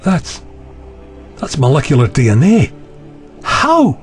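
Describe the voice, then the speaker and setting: A man's voice: fairly high pitch, wildly swooping pitch, takes it slowly, a little mellow, soft background music, exaggerated voice. A man voicing a sardonic, skeptical young official in an audio drama, dry and sarcastic.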